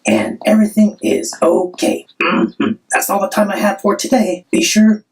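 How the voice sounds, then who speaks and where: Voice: medium pitch, flat delivery, normal speed, gravelly, recorded from a distance, lightly acted, low-quality recording. A performer voicing a cheerful, friendly monster who hosts a children's story show in simple, chatty talk. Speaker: host of children's story channel.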